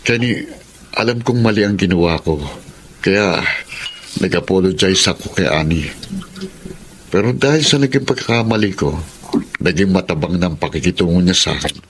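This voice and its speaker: A man's voice: deep voice, lively intonation, moderate pace, long pauses, rough recording. A man playing a guilty, withdrawn young boyfriend in a Filipino romantic radio drama, subdued and earnest. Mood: troubled. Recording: faint background noise.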